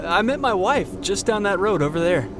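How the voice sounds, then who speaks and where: Higher-pitched dutiful co-pilot man over loud engines. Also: expressive, normal speed. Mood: friendly. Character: young male co-pilot, dutiful, soon to be father. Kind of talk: naturalistic audio drama acting. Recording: loud aircraft engine noise.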